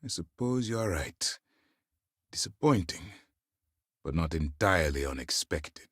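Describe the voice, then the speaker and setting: Deep-voiced, commanding space-navy officer. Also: male voice, sing-song, fairly bright tone, dry recording, clean studio sound, heavily acted. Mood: bitter, disappointed. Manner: formal, authoritative, measured military diction. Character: stern, principled male space-navy commander, grave and commanding. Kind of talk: scripted video game character lines.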